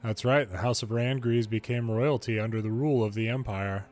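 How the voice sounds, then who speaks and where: A man's voice: mid-range voice, fairly even intonation, brisk, darkish tone. Man with a mid-range voice for reading game cutscene dialogue with light character acting, faint music underneath. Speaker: fan reader of game dialogue. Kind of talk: reading game story dialogue aloud in English.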